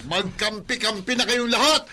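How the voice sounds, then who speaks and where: Bitter, high-pitched hot-tempered young Filipino man. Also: moderate pace, bright, forceful tone, a little hiss or hum. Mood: furious, defiant. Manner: taunting, emphatic. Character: hot-tempered, jealous, spiteful elder half-brother. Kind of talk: Filipino radio drama acting.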